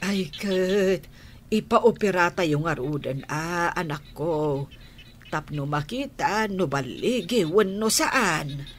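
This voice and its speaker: A woman playing a concerned, resolute older female relative in an Ilocano radio drama, speaking deliberately. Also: on the low side, expressive, a little hiss or hum.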